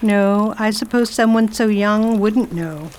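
A woman playing a formidable, brisk spinster travel writer with a crisp, commanding manner in an amateur radio drama. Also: mid-range voice, darkish tone, a little hiss or hum, close to an everyday voice. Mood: bossy.

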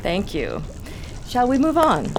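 Radio play villainess, woman actor: poised, sly, theatrical, very animated intonation. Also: medium pitch, measured pace, music in the background. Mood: smooth, self-assured. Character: cunning, silky, self-assured villainess, a beauty specialist with secrets. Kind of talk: radio drama acting.

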